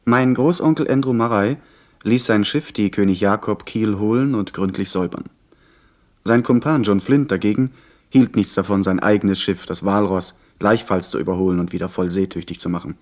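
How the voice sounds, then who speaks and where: Man playing a young gentleman telling his sea adventure, lowish voice, composed radio play narration. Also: moderate pace, lightly acted.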